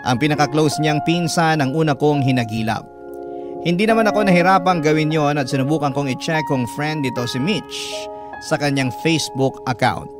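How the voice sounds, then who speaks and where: A man's voice: on the high side, natural voice. An actor playing a lovelorn man who recounts his romance in a sincere, confiding radio drama narration. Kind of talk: scripted first-person radio drama narration in letter form. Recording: music bed under the voice. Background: instrumental music.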